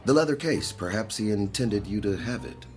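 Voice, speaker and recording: An actor playing a polite, puzzled, deferential waiter in a radio drama, formal and measured. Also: male voice, fairly low pitch, lively intonation, faint music behind, lightly acted. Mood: polite puzzlement.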